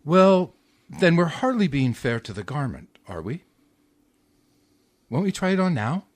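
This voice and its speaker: A man's voice: a little high, sing-song, unhurried. A man playing an officious, falsely genteel department store clerk in a 1940s-style radio comedy, fussy and pompous. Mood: fussy, amused.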